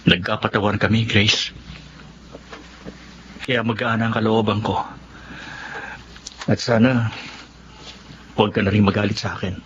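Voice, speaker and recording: A man's voice: lowish voice, little pitch movement, a little slow, long pauses, a bit bright. A man playing a remorseful young husband in a Filipino radio drama. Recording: dull lo-fi audio, faint noise.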